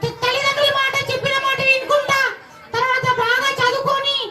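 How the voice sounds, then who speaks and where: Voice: extremely high pitch, level tone, fairly quick, music in the background. A puppeteer voicing a tiny, eager, pious puppet with a sing-song way of coaxing children at a Christmas show. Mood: earnest. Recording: distant, echoey hall with loud crowd.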